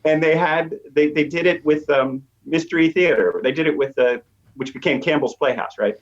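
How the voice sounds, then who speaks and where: A man's voice: fairly high pitch, moderate pace. A man explaining research as an academic guest in an interview, eager and emphatic, on lo-fi audio. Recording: dull, lo-fi remote audio.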